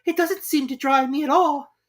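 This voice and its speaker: Woman as a high, plaintive little girl. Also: normal speed, close to an everyday voice. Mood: melancholy. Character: curious, melancholy little girl in storybook.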